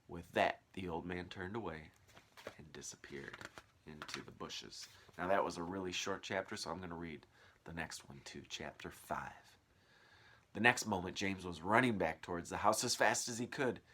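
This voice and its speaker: A man's voice: deep voice, moderate pace, bright, forceful tone. A man reading a children's story aloud as narrator, with dramatic, varied emphasis.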